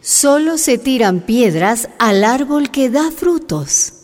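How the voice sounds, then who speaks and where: A woman's voice: sits high, very animated, slow, darkish tone. A woman narrator reading a scripted radio drama. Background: music.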